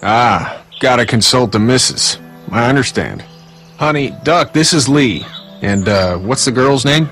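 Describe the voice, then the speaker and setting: A man's voice: medium pitch, animated, measured pace, fairly bright tone, soft background music. An actor playing a folksy, easygoing father in a video game, chummy and practical. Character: folksy, chummy family man, proud practical dad. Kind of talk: naturalistic video game acting.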